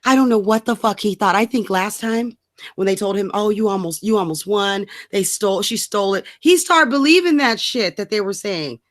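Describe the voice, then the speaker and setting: A woman's voice: mid-range voice, moderate pace. Blunt, sweary woman ranting casually. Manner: blunt, exasperated, sweary, slangy. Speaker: co-host of political rant show. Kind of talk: casual chat, profane political ranting.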